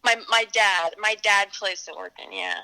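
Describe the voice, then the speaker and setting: A woman's voice: mid-range voice, big pitch swings, moderate pace, pushed and bright, clean tone, poor audio. A woman of about twenty chatting casually as an interview guest, giving short, tentative, playful answers. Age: around twenty. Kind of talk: casual chat.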